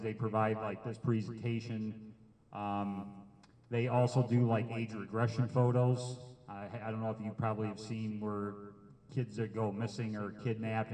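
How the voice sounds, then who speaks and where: A middle-aged man with a loud, carrying voice gives an informal public presentation in a hesitant, plain-spoken way with frequent pauses. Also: fairly low pitch, big-room sound. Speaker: sheriff's detective giving a public safety presentation. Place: meeting room with an audience. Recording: amplified microphone, distant room pickup.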